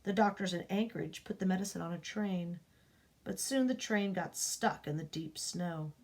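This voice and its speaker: A woman reading a children's picture book aloud, expressive, rising up and dropping to a hush for the dramatic parts. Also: fairly low pitch, normal speed. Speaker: storybook reader.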